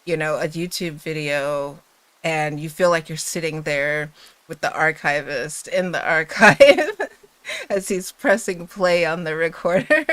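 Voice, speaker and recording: A woman's voice: deep voice, a little slow, fairly bright tone, no echo. A sleepy woman who has just woken, hosting a casual livestream chat before a bedtime reading, giggly and rambling. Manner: giggly and rambling, with many fillers. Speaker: livestream host.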